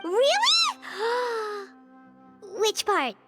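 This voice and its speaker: A woman playing a tiny, excitable, childlike fairy companion in a video game, squeaky and eagerly inquisitive. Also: very slow, loud music behind the voice, full character voice. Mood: eager, curious.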